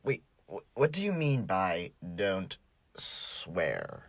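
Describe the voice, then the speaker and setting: Give me a man as a streetwise high school joker in an audio drama. He is low-pitched and husky, sarcastic and prone to stammering, and his delivery swings widely up and down. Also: big pitch swings, takes it slowly, a bit bright, no echo, heavily acted. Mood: teasing, incredulous. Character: easygoing, wisecracking, streetwise male high school student. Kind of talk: fan audio drama acting.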